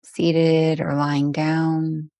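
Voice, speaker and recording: A woman leading a guided meditation as a teacher, speaking gently and soothingly with long, unhurried pauses. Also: deep voice, monotone, dry recording.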